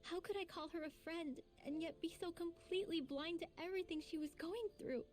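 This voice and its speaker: Casting: earnest teen girl for anime game, high voice, sensitive, emotional, light music underneath. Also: brisk, darkish tone. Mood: regretful. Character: sensitive, self-reproaching teenage girl. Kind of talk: anime video game voice acting.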